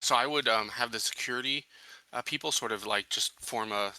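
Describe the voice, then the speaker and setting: A man playing a tabletop roleplaying game, describing his moves casually in a tentative, halting way. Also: mid-range voice, sharp tone, clear, resonant tone, no echo, rough recording. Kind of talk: casual spoken game turns.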